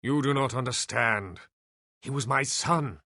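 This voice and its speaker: An actor playing an ancient, reclusive elf sage in a video game, sardonic and weary, with theatrical, deliberate delivery. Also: male voice, medium pitch, pushed and bright, no room sound, studio quality. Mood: regretful. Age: older.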